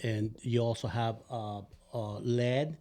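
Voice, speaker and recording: A man's voice: medium pitch, fairly even intonation, slow, warm tone. A man with an Ecuadorian Spanish accent, a home inspector chatting casually on a podcast, earnest and rambling with many restarts.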